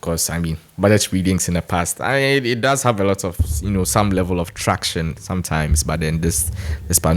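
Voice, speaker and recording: A man with a Ghanaian accent giving informal, conversational opinion commentary in a frank, critical way. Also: deep voice. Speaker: commentator giving his views on national news.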